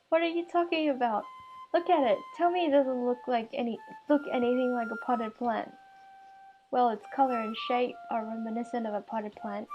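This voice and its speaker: A woman reading out the dialogue of a self-doubting husband and his encouraging wife from a visual novel, gently and with understatement. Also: fairly high pitch, lo-fi sound, close to an everyday voice. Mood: gentle banter.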